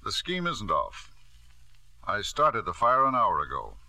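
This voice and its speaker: An actor playing a scheming, reckless businessman and devoted father in 1950s radio drama, with a smooth, theatrical delivery. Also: on the low side, wildly swooping pitch, measured pace, pushed and bright, no room sound, full character voice. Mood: coolly calm.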